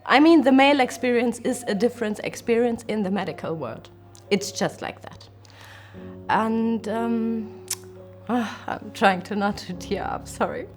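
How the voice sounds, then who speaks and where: A woman's voice: on the high side, measured pace. A woman speaking candidly and from the heart in a documentary interview. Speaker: climber with a rare disease. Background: music.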